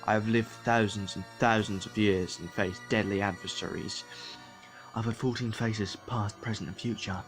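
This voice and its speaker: A man's voice: lowish voice, steady tone, moderate pace, faint music behind, lightly acted, rough recording. A man playing an ancient, solemn time traveller in a sci-fi audio drama, speaking portentously. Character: ancient male time traveller recalling his many lives, solemn.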